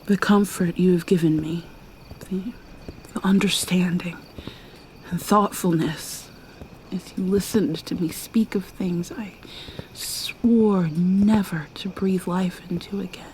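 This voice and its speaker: A woman playing an ancient vampire mermaid in an ASMR roleplay, whispering softly and haltingly with a wistful manner. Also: lowish voice, steady tone, a little hiss or hum, low-quality recording. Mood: earnest. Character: ancient female vampire mermaid, guarded and sorrowful.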